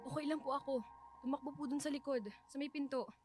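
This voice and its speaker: An actress playing an emotional, defiant and grieving widow in a radio drama. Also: female voice, steady tone, quick pace, a little mellow, soft background music, close to an everyday voice. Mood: tense. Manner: emotional, high-strung. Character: defiant, grieving young widow confronting her husband's killer.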